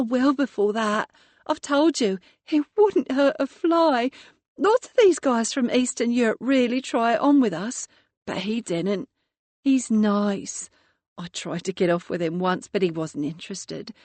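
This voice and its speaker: A woman's voice: a little high, animated, a little slow, a little mellow, no room sound. A woman voicing a chatty young female hotel worker who talks in a confiding, gossipy way.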